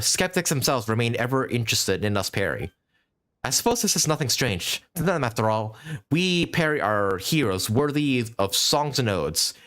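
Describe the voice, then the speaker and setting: A man's voice: mid-range voice, fairly bright tone. Man reading as a proud, lofty spirit heroine. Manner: formal, lofty storytelling.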